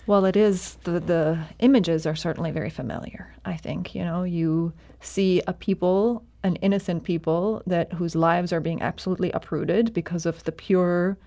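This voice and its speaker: A woman who directs a university center is interviewed on the radio. Her voice is low and mellow, and she speaks in a measured, earnest way with occasional fillers. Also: steady tone.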